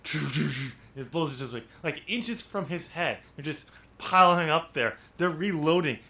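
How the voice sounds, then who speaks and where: A man hosting a podcast in casual, unscripted discussion, talking in a halting, rambling way as he thinks aloud. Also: a little high, expressive, pushed and bright. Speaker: podcast host and amateur novelist.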